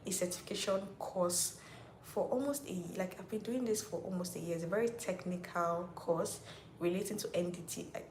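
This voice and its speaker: A woman's voice: fairly low pitch, a little fast, darkish tone, slight background noise. A Nigerian woman in her twenties chatting casually on a livestream, reading viewer comments aloud and often saying "like". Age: 20s. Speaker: YouTuber hosting a live Q&A. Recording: roomy echo.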